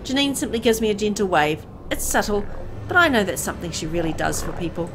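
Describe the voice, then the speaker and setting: A woman's voice: medium pitch, sing-song, fast, background noise, close to an everyday voice. A woman playing a mild young woman who narrates her own story in a visual novel, confiding and a bit prim. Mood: tender, reflective. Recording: music under the voice.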